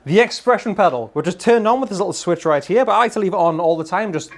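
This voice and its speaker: A man's voice: sits high, expressive, fast talker. A man, giving a casual, enthusiastic gear demo in an informal way. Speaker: guitar gear reviewer. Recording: electric guitar playing behind voice.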